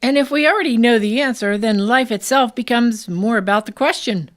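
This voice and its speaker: A woman playing a quick-witted, reasonable companion with dry asides in scripted comedic radio theatre. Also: on the high side, natural voice. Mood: thoughtful.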